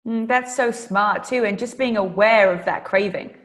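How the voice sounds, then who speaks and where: A British woman hosting a podcast interview, upbeat and enthusiastic, quick to agree with her guest. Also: mid-range voice. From Britain. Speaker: podcast host. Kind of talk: casual interview chat.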